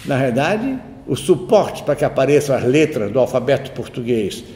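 A man's voice: mid-range voice, very animated, moderate pace, warm tone. A man giving a university lecture, reasoning aloud in a discursive, digressive way and checking in with tag questions. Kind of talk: spoken lecture. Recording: roomy hall with faint ambient noise.

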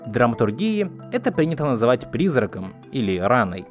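A man narrating a scripted explanatory video essay in a measured, didactic manner. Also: medium pitch, very animated. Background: background music.

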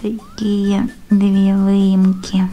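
Slow-paced woman giving craft instructions. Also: mid-range voice, monotone, mellow, faint music behind. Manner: unhurried, short phrases, fond diminutives. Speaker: craft tutorial presenter. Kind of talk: step-by-step instructional narration.

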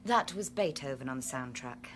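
An actress playing a principled, troubled scientist, earnest and articulate. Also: female voice, extremely low pitch, animated, normal speed, background noise. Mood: troubled, reproachful.

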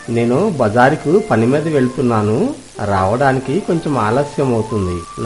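A man's voice: medium pitch, darkish tone, natural voice, poor audio. A man playing a young village husband in a moral story, plain-spoken and practical. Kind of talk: scripted moral-story dialogue.

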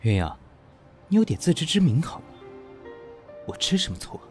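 A man acting a proud, animated, sharp-tongued businessman. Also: a little high, a little fast, faint music behind. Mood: sarcastic, cold. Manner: curt, sarcastic. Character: proud, sharp-tongued businessman, defiant reluctant male lover. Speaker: voice actor. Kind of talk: Chinese audio drama acting.

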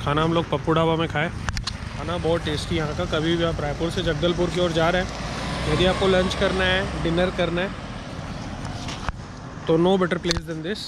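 A man's voice: on the high side, level tone, moderate pace, long pauses, noisy background. A man in his thirties from central India, chatting casually to his camera while driving on a road trip. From Chhattisgarh, India. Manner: chatty, mixes English phrases into Hindi. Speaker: travel vlogger. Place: inside a car on a highway.

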